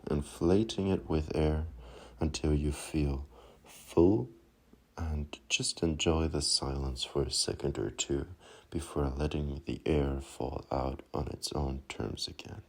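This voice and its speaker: A man leading a guided meditation in a soft, calming, unhurried manner. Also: extremely low pitch.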